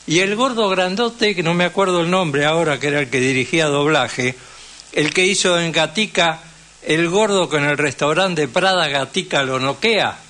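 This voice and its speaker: A man's voice: fairly high pitch, normal speed, bright, forceful tone. An older man with an Argentine accent, chatting casually and telling anecdotes on the radio. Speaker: veteran radio and dubbing professional.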